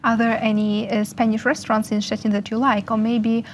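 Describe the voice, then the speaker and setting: A woman's voice: fairly high pitch, little pitch movement, a little fast, slight background noise. A woman hosting an interview program, asking careful, friendly questions in non-native English. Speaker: interview host.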